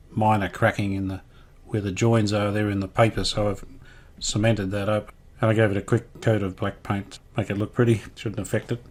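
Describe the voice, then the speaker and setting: Male restorer, lowish voice, casual how-to commentary, tentative thinking-aloud delivery. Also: darkish tone. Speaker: radio restorer presenting a repair series.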